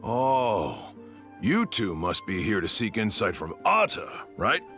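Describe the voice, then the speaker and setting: A man's voice: fairly low pitch, big pitch swings, slow pace, pushed and bright, exaggerated voice. A man playing an inquisitive local bystander in an anime game, with a casual, questioning delivery. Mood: curious. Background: game music.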